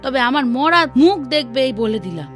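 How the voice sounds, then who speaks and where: A woman's voice: high-pitched, big pitch swings, a little fast, fairly bright tone. A woman playing a practical village housewife in a cartoon, bossy but caring, with a nagging, exclamatory way of talking. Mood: firm, consoling. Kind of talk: cartoon voice acting. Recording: music behind the voice.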